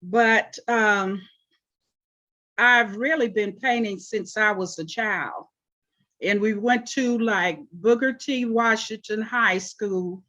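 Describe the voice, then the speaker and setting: Older lady in her 70s, talks slow and easy, lots of little pauses, kinda muffled lo-fi sound. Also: mid-range voice, bright, forceful tone. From Oklahoma. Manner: slow, unhurried, with frequent pauses and fillers. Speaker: interview guest, artist. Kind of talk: relaxed interview answers.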